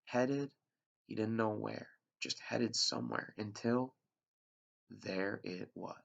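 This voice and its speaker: A male teacher reads a storybook aloud in a deep, heavily gravelly voice with a husky edge. His reading is plain and unpolished, with small stumbles and restarts. The recording sounds dull and lo-fi. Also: slow. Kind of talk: read-aloud storybook narration.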